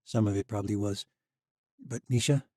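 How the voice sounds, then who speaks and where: A man's voice: on the low side, moderate pace, warm tone, no echo, studio quality, natural voice. A man voicing a weary, haunted young man who speaks hesitantly and pleads, in an audiobook. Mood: pleading. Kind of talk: audiobook character voice.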